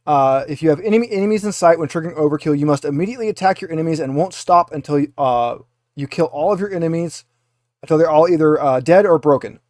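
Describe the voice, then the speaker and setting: A man's voice: fairly high pitch, fast, darkish tone, no room sound, studio quality. A man running a tabletop roleplaying game as game master, explaining rules and guiding players step by step.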